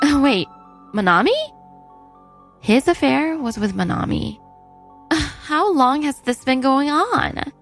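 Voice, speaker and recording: An earnest young wife played by a woman tells her story in a dubbed manga drama. Her voice sits on the high side and sounds wounded. Music plays softly underneath. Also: animated, takes it slowly, vocal fry, pauses often, heavily acted. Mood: shocked, hurt. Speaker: narrator and lead character. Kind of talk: scripted first-person story narration and dubbed dialogue.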